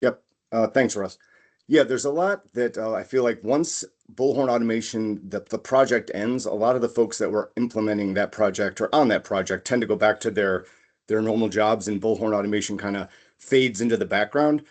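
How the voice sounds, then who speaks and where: A man's voice: on the low side, moderate pace. A man co-presenting a webinar as an automation consultant, explaining things conversationally through practical examples. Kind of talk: conversational business software presentation.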